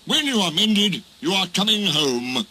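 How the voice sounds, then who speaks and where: A dignified railway controller from a storybook played by a male reader, authoritative yet kindly. His pronouncements are measured, with a lively rise and fall and a bright edge. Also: sits high, slight background noise, pitched above the performer's own voice, heavily acted, rough recording.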